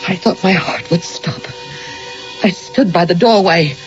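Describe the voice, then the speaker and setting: An actress playing an anxious, insistent wife haunted by foreboding, in an earnest, emphatic 1980 radio drama style. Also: female voice, on the low side, a little slow, music in the background, close to an everyday voice. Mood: haunted narration. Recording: dull lo-fi old radio recording.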